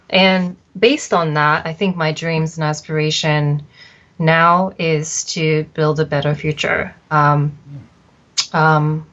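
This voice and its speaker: A middle-aged woman from Japan, an arts agency founder, answering interview questions in a thoughtful, measured way with frequent pauses. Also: sits low, fairly bright tone, muffled recording.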